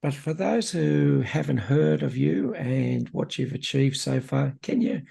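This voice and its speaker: A man's voice: medium pitch, animated, a little slow, creaky, warm tone, slightly rough. A man hosting a conversational interview, speaking in an effusive, encouraging manner. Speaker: podcast host, interviewer. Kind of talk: casual interview chat.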